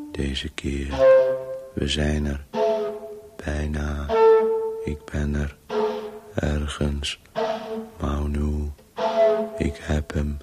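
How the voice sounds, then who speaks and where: Very deep, halting, weary storytelling voice. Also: male voice, full character voice. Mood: urgent, weary. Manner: halting, clipped fragments, sometimes breathy near-whisper. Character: disembodied male Voice, weary, obsessively telling a halting story. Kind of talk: radio play acting, fragmented monologue.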